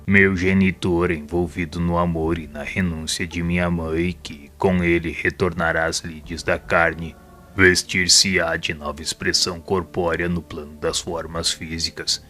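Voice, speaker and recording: An actor playing a solemn, repentant spirit mentor in an audio drama, speaking in a grave, formal and measured way. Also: male voice, low voice, sharp tone. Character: solemn, repentant male spirit assistant and mentor, a former doctor. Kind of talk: audio drama dialogue, formal literary monologue.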